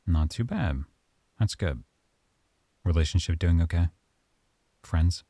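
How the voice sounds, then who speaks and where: Very deep gravelly man, soft-spoken. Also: no room sound. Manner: calm, measured, softly stern. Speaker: audio roleplay performer as a professor. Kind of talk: ASMR roleplay monologue.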